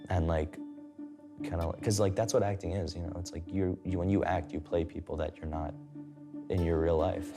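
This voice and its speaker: A man, a student, explaining himself haltingly with many "like"s. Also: low-pitched, fairly even intonation, over music.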